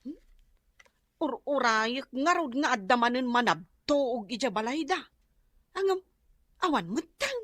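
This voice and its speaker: A woman playing a lively, whining, gossipy character in an Ilocano radio drama. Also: on the high side, unhurried, pauses often, no echo, clean studio sound.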